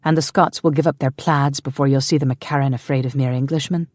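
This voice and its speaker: A woman's voice: extremely low pitch, steady tone, quick pace, darkish tone, no room sound. A woman voicing a proud, defiant Scottish clanswoman in a storybook.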